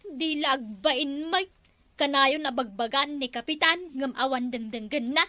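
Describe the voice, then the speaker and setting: A woman's voice: high-pitched, unhurried, bright, forceful tone, dry recording. A woman playing a concerned village neighbour in an Ilocano comedy drama, speaking with an Ilocano accent.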